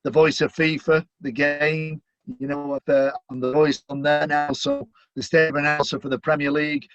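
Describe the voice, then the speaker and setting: A man's voice: a little high, level tone, moderate pace, no echo. Middle-aged Mancunian man, upbeat chatty guest, dry dull lo-fi sound. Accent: Manchester. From Manchester, England. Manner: chatty, upbeat, many "you know" fillers. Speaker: guest, stadium announcer. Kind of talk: casual interview chat.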